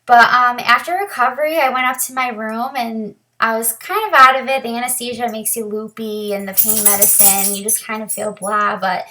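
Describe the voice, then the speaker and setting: A young woman with a raspy, dry throat after surgery, talking candidly to camera in a casual vlog, with frequent pauses and restarts. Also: on the high side, bright, forceful tone, poor audio. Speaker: vlogger sharing her surgery recovery.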